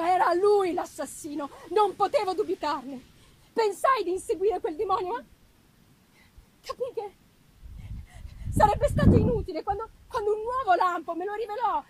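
Very high-pitched, anguished gothic narrator read by a woman. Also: a little hiss or hum, low-quality recording. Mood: tormented, brooding, then anguished, horrified. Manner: halting, emphatic, repeating words for dramatic effect. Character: anguished young male scientist haunted by his murderous creation. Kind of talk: dramatic live literary reading, gothic monologue.

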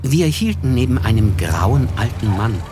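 A man playing a reserved writer who narrates a literary radio play in a measured, wry, observant way. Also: medium pitch, big pitch swings, background noise, close to an everyday voice. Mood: wry, descriptive. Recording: music bed behind the voice.

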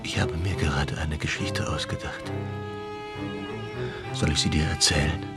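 An actor in a German radio drama playing an ailing, sardonic, dreamy writer with a wry, volatile manner. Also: male voice, sits low, wildly swooping pitch, measured pace, over loud music, exaggerated voice. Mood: musing, wry. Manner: wry, volatile, swinging from murmurs to outbursts.

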